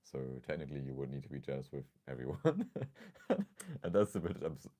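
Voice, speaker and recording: Male livestream host, extremely low mellow voice, a bit scratchy, casual and thoughtful. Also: sing-song, a little fast. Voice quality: slightly scratchy.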